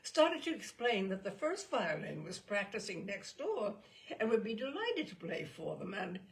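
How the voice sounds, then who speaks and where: A woman reading a literary story aloud as an expressive storyteller. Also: mid-range voice, normal speed, creaky.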